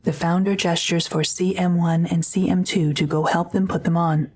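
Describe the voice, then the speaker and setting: A woman's voice: fairly low pitch, fairly even intonation, normal speed, darkish tone, slightly rough. A woman reading scripted audio drama narration in a neutral, descriptive manner.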